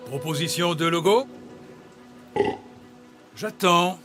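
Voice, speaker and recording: An actor playing a brisk, bossy office boss in an animated comedy, commanding and theatrical. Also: male voice, high-pitched, soft background music, full character voice. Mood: gleeful.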